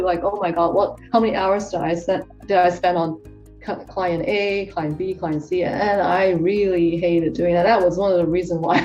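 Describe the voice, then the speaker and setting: A woman's voice: mid-range voice, dark tone. Woman. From Hong Kong. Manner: chatty, with many fillers and restarts. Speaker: guest tax professional. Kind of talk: casual interview answers. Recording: dull distant mic with faint music.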